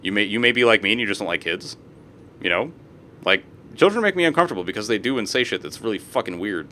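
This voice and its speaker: A man's voice: lowish voice, sing-song, quick pace, pushed and bright, slight background noise. A young man streaming live, reading posts aloud and ranting about them with plenty of swearing. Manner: profane, ranting, reads posts aloud with asides.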